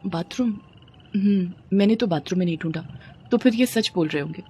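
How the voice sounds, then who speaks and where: A woman's voice: fairly low pitch, fairly quick, dark tone, a little hiss or hum, close to an everyday voice. A woman voicing a suspicious young wife, anxious but determined, in a storybook tale. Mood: puzzled.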